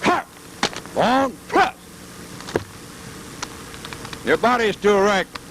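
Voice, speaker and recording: A man giving brisk, commanding military drill instruction with barked orders, on a vintage training film soundtrack. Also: sits high, very animated, fairly bright tone. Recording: noisy vintage 1930s-40s training film.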